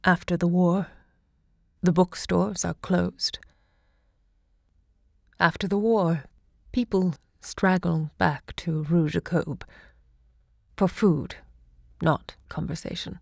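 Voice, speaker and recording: An actress playing a grand, impassioned woman who hosts a literary salon, looking back in deliberate, theatrical monologue. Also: fairly low pitch, steady tone, creaky, no echo, studio quality, exaggerated voice. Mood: weary, wistful. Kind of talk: theatrical audio drama monologue.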